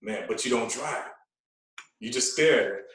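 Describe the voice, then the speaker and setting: A man's voice: medium pitch, fairly bright tone. Echoey, distant man in casual conversation. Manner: earnest, deliberate, emphatic repetition. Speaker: podcast guest, radio DJ and fitness coach. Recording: roomy echo, distant from mic.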